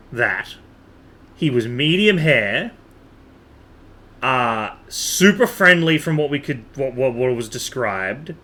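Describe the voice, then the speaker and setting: Male livestreamer: bright, lively, swooping delivery, casual rambling chat with repetition for emphasis. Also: mid-range voice. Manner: animated, rambling, repeats himself for emphasis. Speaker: game livestreamer.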